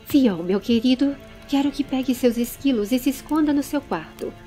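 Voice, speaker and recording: A woman's voice: high-pitched, lively intonation, moderate pace, dark tone, soft background music. A Brazilian woman voicing a commanding, protective lady with firm maternal authority in a dramatized fantasy audiobook.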